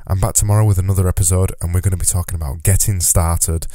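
Deep, dark-voiced man podcasting. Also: steady tone, fast, no room sound, clean studio sound. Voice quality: nasal. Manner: earnest, repeats words for emphasis. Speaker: podcast host. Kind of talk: solo podcast monologue. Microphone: close podcast mic.

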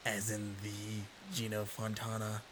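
A man playing a gruff, terse, threatening ex-detective in an audio drama. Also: fairly low pitch, completely flat delivery, unhurried, background noise, lightly acted. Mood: brisk, matter-of-fact. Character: gruff, threatening, hard-boiled male ex-detective.

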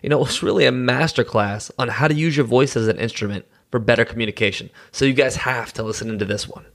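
A man's voice: medium pitch, quick pace, vocal fry, fairly bright tone. A man speaking as a podcast host, interviewing a guest in a conversational style.

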